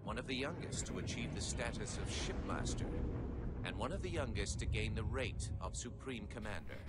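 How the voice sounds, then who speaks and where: A grave alien chronicler narrates with formal, deliberate weight over prominent music. The tone is solemn and disapproving, in the manner of a video game cutscene. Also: male voice, fairly low pitch. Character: solemn, disapproving alien scribe recounting a warrior's history. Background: music under the voice.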